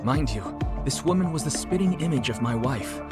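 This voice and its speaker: A man's voice: mid-range voice, expressive, loud music behind the voice, close to an everyday voice. A man playing a courteous, formal middle-aged chief executive and widower in an English-dubbed video game drama. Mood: earnest, hopeful. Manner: measured, formal, articulate. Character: polished, courteous male CEO and grieving widower. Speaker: company executive, client.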